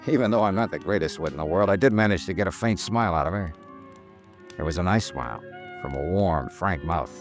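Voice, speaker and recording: Radio detective hero: American, low and animated, colloquial, wry but considerate, vintage drama delivery. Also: male voice. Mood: reflective narration. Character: wry, caring American male insurance investigator hero. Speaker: lead actor and narrator. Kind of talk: old-time radio detective acting. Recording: old radio drama with music.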